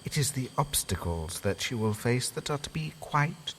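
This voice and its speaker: A man's voice: mid-range voice, animated, moderate pace, a bit bright, a little hiss or hum, lightly acted. An actor playing a theatrical, sinister male asylum master who speaks with grand formality, mocks his guests and laughs with glee. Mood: sly. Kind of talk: fan audio drama acting.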